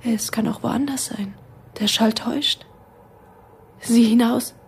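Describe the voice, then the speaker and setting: A woman's voice: on the high side, fairly even intonation, takes it slowly, breathy edge, heavily acted. An actress playing a frightened, watchful mother in a radio drama. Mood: hushed fear.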